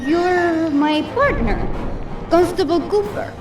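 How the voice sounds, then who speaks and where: Bossy game cop, high voice, slow and dramatic, echoey and distant w music. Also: female voice. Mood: urgent, protective. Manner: firm, dramatic. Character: bold, commanding female police inspector in a cartoon game. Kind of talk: video game voice acting.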